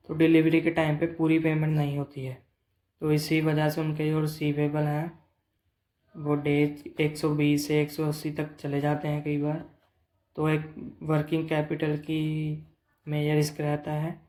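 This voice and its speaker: A man's voice: on the high side, darkish tone. A man presenting a financial update in a plain, explanatory way, mixing in English business terms. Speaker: presenter of company earnings updates. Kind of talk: explanatory financial commentary, semi-scripted.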